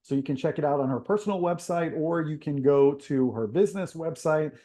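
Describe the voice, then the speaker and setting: Cheery podcast host guy, kinda high but warm voice, laughs a lot. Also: fairly quick. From Israel. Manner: upbeat, laughs often, enthusiastic praise. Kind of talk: casual interview chat.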